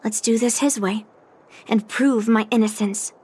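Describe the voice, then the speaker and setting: A woman's voice: fairly high pitch, fairly even intonation, a little slow, a little mellow, breathy edge. A woman voicing a determined, earnest young woman in a video game, thinking through her situation aloud. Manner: earnest, pondering. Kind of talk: scripted video game dialogue acting. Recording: music behind the voice.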